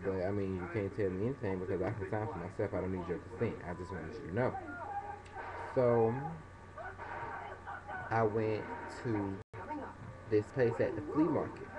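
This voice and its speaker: A young man, casually telling a story in a vlog and acting out other people's voices. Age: young adult. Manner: animated, acts out other people's voices in high pitch. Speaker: vlogger. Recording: dull lo-fi webcam audio.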